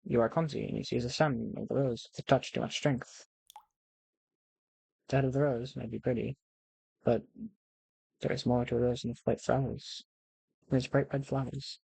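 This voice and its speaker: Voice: mid-range voice, fairly even intonation, normal speed, vocal fry, pauses often, warm tone, breathy edge, no echo, low-quality recording. An amateur gamer reading a visual novel aloud in a theatrical storybook manner, with casual asides. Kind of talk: expressive scripted narration with casual asides.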